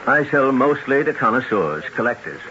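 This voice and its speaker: An actor playing an irritated, talkative gun dealer with brash, slangy speech in a 1970s radio drama. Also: male voice, mid-range voice, big pitch swings, moderate pace, bright, forceful tone. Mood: brash, boastful. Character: irritated, talkative gun shop owner, a man. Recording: muffled, noisy 1970s radio recording.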